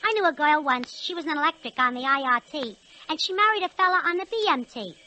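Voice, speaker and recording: Casting a slangy, plaintive young female locomotive, high sharp voice, 1940s radio drama. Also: expressive, fast, rough recording. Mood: wistful, slangy. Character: sweet, complaining young female locomotive, working-class girlfriend.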